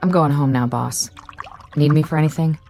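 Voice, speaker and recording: An actress playing a quiet, thoughtful assistant code breaker with an uneasy manner in a radio drama. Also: female voice, low-pitched, steady tone, moderate pace, creaky, soft background music.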